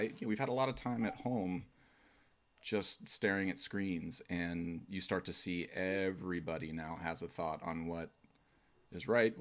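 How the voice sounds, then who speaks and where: A man with a very low voice hosts a loose conversation. He circles around his questions, restarts often, and chuckles as he goes. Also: fairly bright tone. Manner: rambling, self-interrupting, chuckling. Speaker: host and steel drummer. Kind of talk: casual interview chat.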